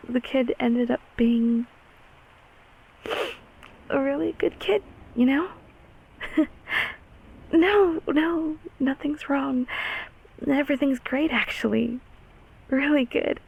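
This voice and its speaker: A woman playing a gentle, affectionate closet monster in an intimate comfort roleplay, speaking softly and hesitantly in a whispery, reassuring way. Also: high-pitched, dull audio, heavily acted. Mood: flustered, chatty. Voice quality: soft, breathy, whispery. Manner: slow, hesitant, tenderly reassuring.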